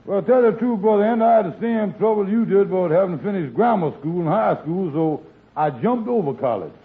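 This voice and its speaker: An actor playing a scheming, smooth-talking male would-be promoter with wheedling dialect speech, in 1940s radio comedy. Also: high voice, expressive, fairly quick, a little hiss or hum. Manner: wheedling, fancy-word talk, dialect speech. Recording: tinny old radio broadcast recording.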